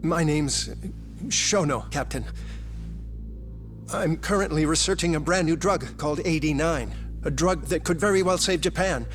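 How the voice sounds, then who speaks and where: A man plays an eager, idealistic researcher in a game cutscene. His voice is fairly high, excitable and halting, over faint music. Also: natural voice. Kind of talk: English video game cutscene acting.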